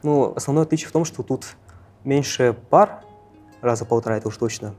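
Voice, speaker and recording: A man in his late teens speaks casually in an interview with a mellow, soft-edged tone. He halts and corrects himself as he goes. Faint music sits behind him. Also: mid-range voice. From Russia, Yakutia. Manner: halting, self-correcting. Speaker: first-year university student. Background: faint background music.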